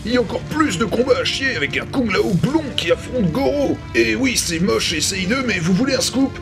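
High-voiced sarcastic Frenchman over music. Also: animated, brisk. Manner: sarcastic, crude. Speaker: host narrating a retro video review. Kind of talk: scripted comic narration. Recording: music behind the voice.

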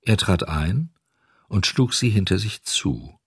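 A man narrating a children's audiobook in an expressive, dramatic way, speaking directly to young listeners. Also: low-pitched, slow, slightly rough, dry recording, clean studio sound.

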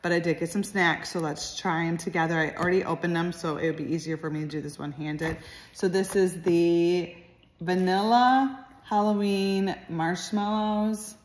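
A woman's voice: fairly low pitch, fairly even intonation, normal speed. A woman, chatting casually through a phone video as she points things out. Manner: chatty, points out items, lots of "cute" and "like". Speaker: vlogger. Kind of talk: casual shopping vlog chat. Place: store aisles, then a home living room. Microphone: phone held by hand, later at arm's length. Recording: phone video with loud store music and crowd.

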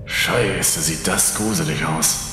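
Brash young man, flippant and impatient, lowish pitch, long reverb, horror audio drama male actor. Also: slow, pushed and bright, exaggerated voice. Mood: reassuring. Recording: long reverb, rumbling noise.

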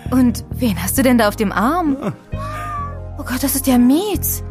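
A woman's voice: high voice, expressive, a little slow, music in the background. An actress playing a friendly young woman in a German audio drama. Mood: surprised, friendly. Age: young adult. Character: friendly, surprised young party girl.

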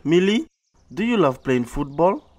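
An actor playing a caring middle-aged dad, gentle and encouraging, in an animated comic. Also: male voice, on the high side, measured pace. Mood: concerned. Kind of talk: animated motion comic acting.

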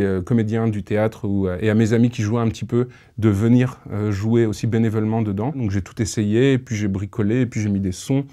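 Thoughtful man, low mellow voice. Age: 30s. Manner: halting, thoughtful. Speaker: interviewee, audio fiction creator. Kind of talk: reflective interview talk. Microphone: lapel mic.

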